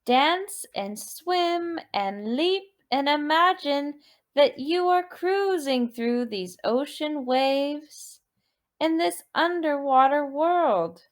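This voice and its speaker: A woman teacher reading a children's movement story, expressive and deliberate, prompting listeners with questions and repeated words. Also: high voice.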